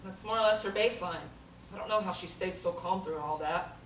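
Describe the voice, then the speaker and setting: A woman's voice: fairly low pitch, brisk, lightly acted. A woman reading the part of an anxious, skeptical therapy patient in a play. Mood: wary, curious. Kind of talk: dramatic table reading of a play. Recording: echoey room, distant mic, noise.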